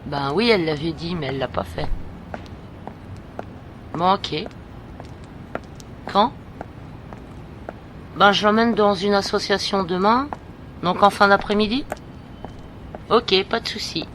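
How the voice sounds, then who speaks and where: A woman playing a brisk, encouraging and slightly bossy companion to a blind girl in an amateur audio drama. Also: medium pitch, a bit bright. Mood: brisk, matter-of-fact. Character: brisk, upbeat woman looking after a blind teenage girl. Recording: clear background noise.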